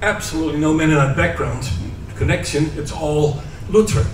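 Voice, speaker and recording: A man's voice: a little high, fairly quick. A man from the Netherlands, a native Dutch speaker, asking a string of questions from the audience in a lecture hall. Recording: roomy hall audio from far off, clear background noise.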